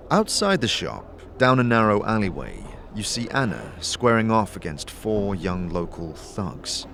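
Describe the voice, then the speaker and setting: A man's voice: lowish voice, sing-song, measured pace, vocal fry, a bit bright, slight background noise. A man narrating a fantasy story to the listener as game master, in a scripted, dramatic style. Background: background music.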